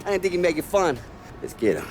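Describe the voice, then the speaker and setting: Cocky, menacing gangster thug, very animated. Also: male voice, a little high, fast talker, slight background noise. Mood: taunting. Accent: American. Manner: taunting, slangy. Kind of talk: dramatic game cutscene acting. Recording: music behind the dialogue.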